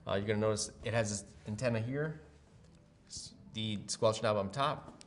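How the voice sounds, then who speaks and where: A man with a fairly low voice walks through a product in a casual, hands-on way. The recording has noticeable background noise, with clicks of things being handled. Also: normal speed. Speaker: product demonstrator. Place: room with brick wall, at a table.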